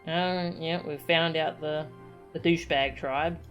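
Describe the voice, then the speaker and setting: A woman's voice: deep voice, fairly even intonation, a little slow, a bit bright, dull audio, faint music behind. A woman streaming a game with casual commentary and playful, wry asides.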